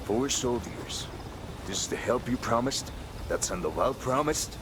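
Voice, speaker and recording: Game role: impassioned male guerrilla chief acted by a man, stern, urgent, mid-pitched, clear noise behind. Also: lively intonation, measured pace, close to an everyday voice. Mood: indignant. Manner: indignant, urgent, rhetorical. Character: stern, impassioned male Bolivian rebel leader. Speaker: rebel leader. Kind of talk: cinematic game dialogue.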